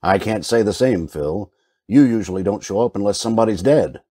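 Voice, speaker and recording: Low, mellow, hardboiled private eye played by a man. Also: animated, a little fast. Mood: dry, sardonic. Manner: dry, sardonic. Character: wry, world-weary, hardboiled male private eye. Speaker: lead actor and narrator. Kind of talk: old-time radio noir detective narration.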